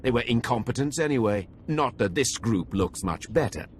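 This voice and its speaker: A man's voice: medium pitch, animated, normal speed, a little hiss or hum, lightly acted. A man acting a cruel, contemptuous overseer of slaves in a video game cutscene, with a sneering, scornful manner. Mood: sardonic. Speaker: Sith academy overseer character.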